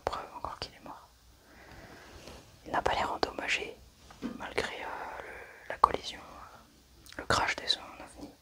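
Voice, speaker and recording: A person whispering throughout in an ASMR medical roleplay, calmly and methodically narrating each step as an examiner. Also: unhurried, long pauses. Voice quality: soft unvoiced whisper.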